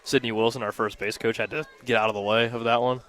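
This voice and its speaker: A man working as color commentator on a live radio softball broadcast, analysing play at an outdoor ballfield. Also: medium pitch, little pitch movement, fast.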